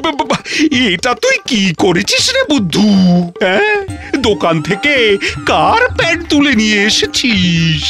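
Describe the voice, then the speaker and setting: A middle-aged cartoon master, fussy and easily exasperated. He scolds and exclaims with hugely animated pitch swings at a slow, drawn-out pace. Music plays clearly behind the voice. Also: exaggerated voice. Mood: exasperated, flustered. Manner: exclaiming, scolding, stammering when flustered. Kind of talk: animated cartoon comedy acting. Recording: studio voice over background music.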